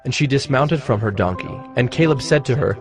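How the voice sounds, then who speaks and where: A man narrating scripture from a script in a measured, formal manner. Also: medium pitch, lively intonation. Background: music.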